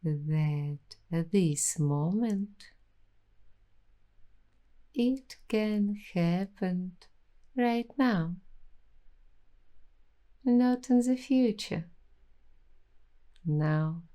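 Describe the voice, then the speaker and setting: A woman hosting an ASMR roleplay, speaking softly and reflectively in gentle, affirming words. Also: medium pitch, animated. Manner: soft, slow, reflective and affirming. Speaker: ASMR host.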